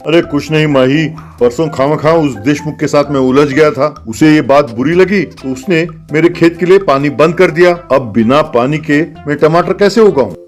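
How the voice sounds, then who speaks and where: A man playing a proud, stubborn young engineer turned farmer in an animated comedy story. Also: on the high side, normal speed, hardly any pauses, soft background music, natural voice. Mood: worried.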